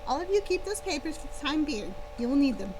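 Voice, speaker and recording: A woman reading a story aloud, voicing a calm, brisk and authoritative female school professor. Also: high-pitched, low-quality recording. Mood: brisk, commanding. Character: composed, soothing adult female school professor. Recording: clear background hum and noise.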